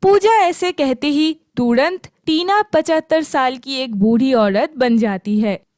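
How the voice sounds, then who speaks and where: A woman's voice: high-pitched, slow, darkish tone, dry recording, studio quality. A woman narrating a scripted children's story.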